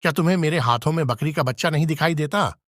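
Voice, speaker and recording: A woman's voice: deep voice, quick pace, no echo, clean studio sound, voice pushed down. A woman voicing an indignant, short-tempered male priest, protesting in a storybook tale. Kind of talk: storybook character voice.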